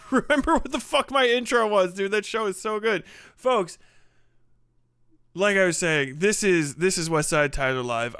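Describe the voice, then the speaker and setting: A man hosting a livestream in casual, rambling, sweary chat, with an animated way of talking into a close mic. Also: sits high, clean tone, no room sound. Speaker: livestream host.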